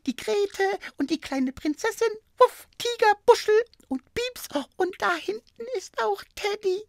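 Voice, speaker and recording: A woman voicing an eager, impatient, childlike little boy king in a children's cartoon, with expressive exclamations. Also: extremely high pitch, unhurried, no room sound, studio quality, heavily acted. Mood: excited. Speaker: main character of children's cartoon. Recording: music under the voice.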